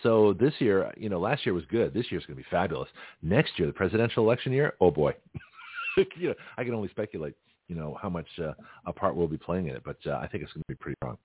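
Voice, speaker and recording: A man hosting a talk radio show, chatting and rambling with a gravelly, slightly hoarse voice. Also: deep voice, animated, fast, no room sound. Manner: rambling, chatty, self-interrupting. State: slightly hoarse, has a cold. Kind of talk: casual talk radio monologue. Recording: dry, phone-like narrow sound.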